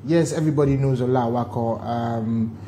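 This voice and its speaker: A man's voice: medium pitch, steady tone, brisk, warm tone, a little hiss or hum. A man with a Nigerian accent co-hosting, putting questions in a casual interview style. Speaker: TV show co-host.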